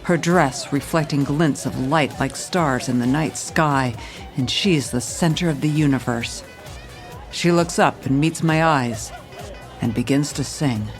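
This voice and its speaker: Hardboiled noir police commissioner. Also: female voice, sits low, measured pace, faint music behind. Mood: brooding. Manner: terse, world-weary, commanding. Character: tough, hardboiled female police commissioner, seasoned ex-brawler. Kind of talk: noir scripted narration and drama.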